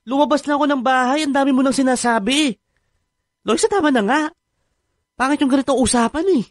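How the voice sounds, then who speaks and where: Defensive Filipino husband, dry studio sound. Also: male voice, very high voice, brisk, no echo. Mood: irritated, evasive. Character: defensive, easygoing husband, former ship worker. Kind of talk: Filipino radio drama acting. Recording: dry studio with music underneath.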